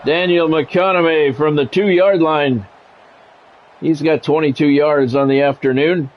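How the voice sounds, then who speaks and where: A man's voice: on the high side, steady tone, measured pace, a little hiss or hum. An American man doing play-by-play commentary on a football game in short, clipped announcer phrases. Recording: dull lo-fi sound, music behind.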